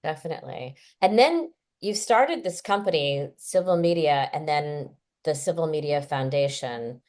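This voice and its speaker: A woman's voice: low voice, animated, moderate pace, darkish tone. A woman hosting a casual interview, asking chatty, emphatic questions. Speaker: interview host.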